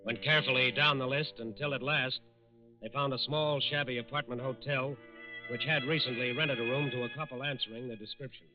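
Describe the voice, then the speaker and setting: A man narrating a 1940s radio crime drama in a deliberate, dramatic manner. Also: medium pitch, steady tone, lo-fi sound. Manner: deliberate, dramatic repeated phrases. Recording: band-limited 1940s radio recording. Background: dramatic music.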